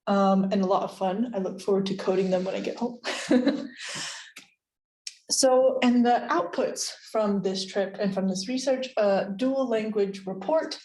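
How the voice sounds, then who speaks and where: A woman's voice: mid-range voice, measured pace, a little mellow, echoey room. A woman with an American accent giving a friendly, chatty research seminar with frequent fillers and light laughs. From United States. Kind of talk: academic seminar talk. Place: university seminar room.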